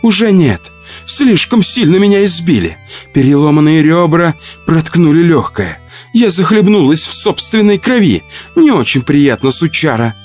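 A man's voice: fairly high pitch, sing-song, normal speed, soft background music, pitched above the performer's own voice. A man voicing a murdered woman returned as a nervous, bitter, eerie spirit in an audio horror story.

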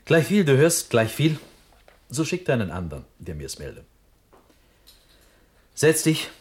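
An actor playing a restless, hopeful young imprisoned prince feigning nonchalance in a classical German radio drama. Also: male voice, mid-range voice, huge pitch swings, a little slow, slight background noise. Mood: forced nonchalance.

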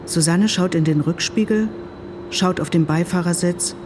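A woman's voice: on the low side, normal speed, heavy background noise. A woman narrating an audio play, reading scene directions in clipped, descriptive phrases.

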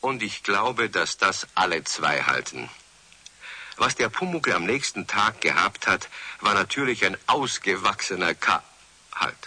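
An actor as a good-humoured, knowing storyteller with a husky voice, narrating a children's radio play. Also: male voice, fairly low pitch, lively intonation, a little slow, pushed and bright, no echo, full character voice. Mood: wry, confiding.

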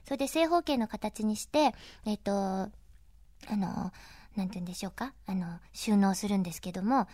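A woman hosting a chatty, polite radio-style interview in an animated way, with frequent agreeing interjections. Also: mid-range voice, normal speed, no room sound, studio quality. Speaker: interviewer and DJ host.

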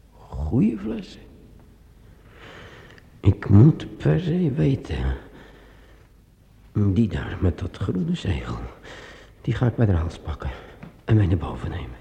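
Radio drama, echoey hall: an unsteady, muddled man, stammering, slow, swooping in pitch. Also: very animated, creaky, mellow, exaggerated voice. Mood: muddled resolve. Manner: stammering, halting, giggly. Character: giddy, muddled man enchanted by talking bottles. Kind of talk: theatrical radio play acting. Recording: echoey hall, music behind.

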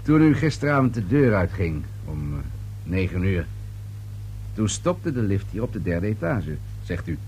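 An actor playing a persistent police inspector, questioning politely but insistently in a radio play. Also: male voice, deep voice, big pitch swings, moderate pace, a little mellow, a little hiss or hum. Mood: probing.

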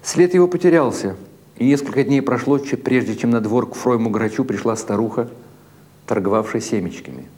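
A man narrating literary short stories as a dramatic audiobook, in a measured, deliberate way with expressive phrasing. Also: medium pitch. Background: music behind the reading.

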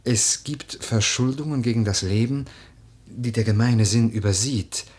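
An actor playing an earnest, melancholy nobleman in a literary radio drama, speaking in a soft, husky voice with grave, measured phrasing. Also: mid-range voice. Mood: grave, urgent. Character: earnest, melancholy nobleman, the widow's admonishing cousin.

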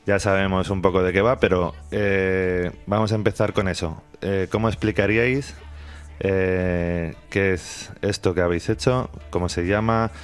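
A man's voice: deep voice, steady tone, a little slow, clear, resonant tone. A man with an accent from Spain hosting a radio show, introducing and interviewing conversationally. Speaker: radio host. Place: radio studio.

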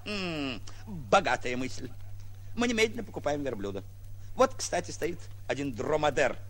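An actor playing a suave, pompous self-styled prince in a 1940s radio play, speaking grandly with drawled hesitations. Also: male voice, fairly high pitch, expressive, moderate pace, fairly bright tone, slight background noise. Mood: grand, assured. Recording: muffled vintage 1940s radio recording.

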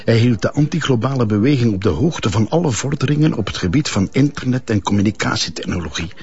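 A smug, insinuating Flemish informant in a crime drama. His medium-pitched voice is full of gravel and creak. The recording is slightly dull. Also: expressive, fast talker, darkish tone. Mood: confiding. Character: sly, smug male informant and blackmailer. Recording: dull lo-fi broadcast audio.

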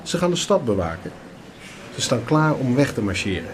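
A man's voice: medium pitch, sing-song, moderate pace, crowd noise, natural voice. A man playing a patient father who explains things to his young son in a radio drama. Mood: patient, instructive.

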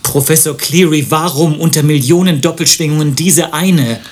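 A man playing a lovesick, wry radio-play protagonist who speaks in a deadpan, literary way. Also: on the high side, normal speed, close to an everyday voice. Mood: earnest, troubled. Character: lovesick, melancholy, wry man narrating his own absurd story. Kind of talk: German radio play acting and narration.

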